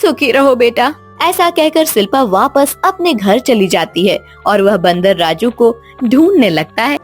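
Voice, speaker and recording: A woman's voice: a little high, expressive, a little slow, husky, faint music behind. A woman reading scripted narration for a children's cartoon story.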